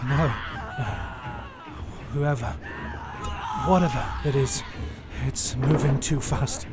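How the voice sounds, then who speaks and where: An actor playing a terrified, swearing sailor in a horror audio drama. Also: male voice, mid-range voice, takes it slowly, heavy background noise, close to an everyday voice. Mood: frightened, urgent. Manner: panicked, swearing. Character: terrified sailor fleeing an unseen attacker. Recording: dramatic music behind the voice.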